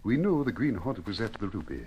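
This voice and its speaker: An actor playing a grave, learned doctor in an old time radio drama, speaking formally and precisely. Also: male voice, on the low side, big pitch swings, fast, dull audio. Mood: insistent. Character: grave, learned male doctor, expert on ancient relics. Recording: hissy old radio recording.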